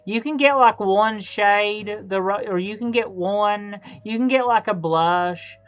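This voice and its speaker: A man in his thirties chats casually while streaming a game, with a smooth voice and a slow pace. He is halting, often circling back to repeat a phrase. The sound is slightly dull, with faint music underneath. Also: high-pitched. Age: 30s. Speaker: live streamer. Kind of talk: casual chat while gaming.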